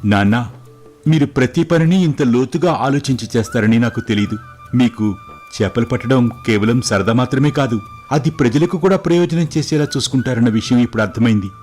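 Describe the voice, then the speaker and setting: A man voicing an eager, impatient young prince, earnest and excitable, in a storybook tale. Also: mid-range voice, a little fast, soft background music, lightly acted. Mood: curious, earnest. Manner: earnest, excitable, respectful to father. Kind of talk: scripted moral story dialogue.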